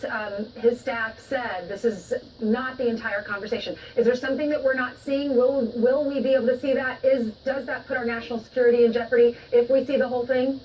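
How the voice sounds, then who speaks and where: A woman co-hosting a news show, interviewing conversationally, in a muffled, slightly distant recording. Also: fairly high pitch. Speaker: morning news show host. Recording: muffled, distant, faint noise.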